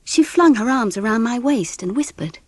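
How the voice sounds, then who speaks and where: A gentle, weary English mother, husky and expressive in a dry recording. She narrates and talks with tender, measured care, in dramatised audiobook style. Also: a little high, dry recording. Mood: reflective. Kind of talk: scripted first-person narration and audiobook drama.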